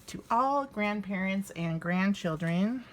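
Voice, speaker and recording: A woman's voice: mid-range voice, lively intonation, slow pace, a little hiss or hum, low-quality recording. An American woman in her fifties doing an informal children's read-aloud, with hesitant, rambling asides. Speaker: story reader for children.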